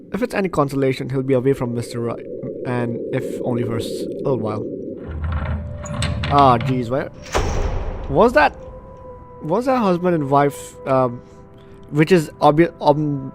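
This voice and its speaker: A man's voice: medium pitch, a little mellow. A man hosting a let's play, reading a visual novel aloud and breaking off into chatty, reactive asides. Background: faint game music.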